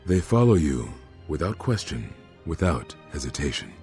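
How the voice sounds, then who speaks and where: A man playing a somber, reflective Jedi master in a video game, with a husky dark voice and deliberate delivery. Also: a bass voice, big pitch swings, faint music behind, exaggerated voice. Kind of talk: video game dramatic acting.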